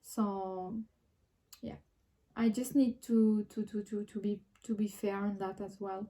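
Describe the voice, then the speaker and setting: French-accented woman with a mellow voice. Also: mid-range voice, fairly even intonation, takes it slowly. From France. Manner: frequent restarts and hesitations, reflective. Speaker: vlogger. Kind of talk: casual personal vlog.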